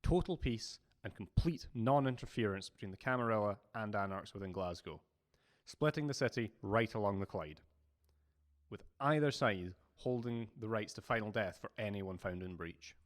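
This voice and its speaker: A man's voice: lowish voice, normal speed, lightly acted. A man playing a loyal, diplomatic vampire deputy in an audio drama, formal and composed.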